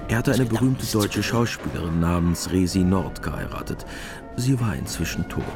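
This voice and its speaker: A man narrating a radio drama from a script in a measured, matter-of-fact way. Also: deep voice, expressive, vocal fry. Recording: music behind the voice.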